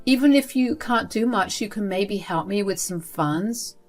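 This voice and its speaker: A woman voicing a manipulative old grandmother who begs her family for money, in a high wheedling voice. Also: expressive, measured pace, faint music behind, lightly acted. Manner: whiny, wheedling, high-pitched.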